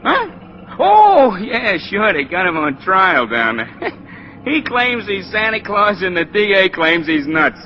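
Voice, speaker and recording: An actor playing a brash, slangy working-class postal worker in a 1940s radio drama. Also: male voice, high-pitched, very animated, slow, a little hiss or hum, full character voice. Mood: cheerful, amused. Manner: slangy, eager, wisecracking. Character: brash, excitable working-class male New York mail sorter. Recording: dull vintage 1940s radio recording.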